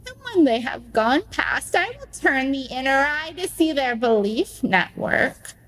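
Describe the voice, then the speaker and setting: A woman's voice: high voice, very animated, a little slow, fairly bright tone, heavily acted, low-quality recording. A woman playing a solemn mystic who intones like a ritual litany in a radio play. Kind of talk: incantatory radio play recital.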